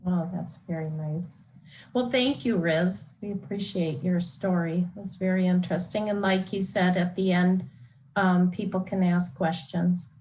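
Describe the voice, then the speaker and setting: A woman's voice: on the low side, steady tone, measured pace, a little mellow, a little hiss or hum, poor audio. A woman moderating a panel, asking polite questions and introducing speakers.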